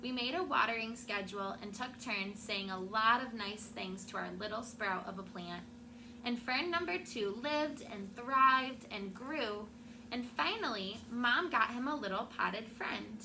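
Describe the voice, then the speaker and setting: A woman teacher reading a picture book aloud to her class in an expressive storytelling way, with asides to the children. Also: on the high side, measured pace. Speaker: elementary school teacher. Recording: clearly noisy classroom recording.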